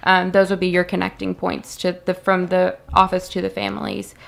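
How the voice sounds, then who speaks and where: A woman's voice: fairly low pitch, monotone, moderate pace, creaky, background noise. A woman from a family resource center answering questions on a radio show in a practical, matter-of-fact way. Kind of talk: casual radio interview answers.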